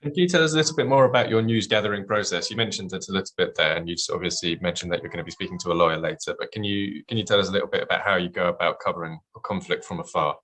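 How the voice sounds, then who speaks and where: Fast-talking deep-voiced male interviewer. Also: steady tone, a bit bright, rough recording. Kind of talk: interview questions.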